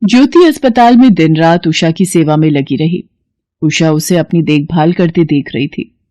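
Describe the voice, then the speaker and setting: A woman's voice: low-pitched, moderate pace, mellow, no room sound. A woman reading scripted narration for a family moral story as its storyteller.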